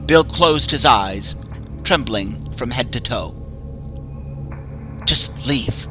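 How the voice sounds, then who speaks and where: A man narrates a horror story in a measured, suspenseful way. His voice sits at an ordinary middle pitch. A clear music bed plays under him. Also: lively intonation. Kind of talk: dramatic horror story reading.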